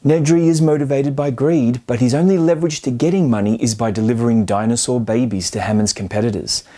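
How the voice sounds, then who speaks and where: A man narrates a film commentary as its host. His voice sits at a medium pitch with a soft, dark-edged tone. The delivery is scripted yet colloquial, making its case with playful emphasis. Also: steady tone, brisk. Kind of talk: scripted video-essay narration.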